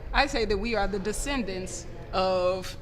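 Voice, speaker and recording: A woman speaking earnestly and in a measured way as a panelist on a roundtable talk show. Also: mid-range voice, crowd noise. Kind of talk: panel discussion. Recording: echoey room with steady noise.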